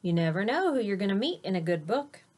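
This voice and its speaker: A woman's voice: medium pitch, sing-song, quick pace, a little mellow. A woman voicing an encouraging, book-loving female homeroom teacher in a storybook, emphatic and coaxing.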